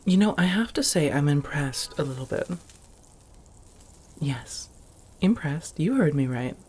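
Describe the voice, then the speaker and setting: A soft-spoken woman acting an affectionate, refined female vampire roommate in an ASMR roleplay, often near a whisper, with formal phrasing and coy pet names. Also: low-pitched, expressive, a little slow, a little hiss or hum. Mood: warm, impressed.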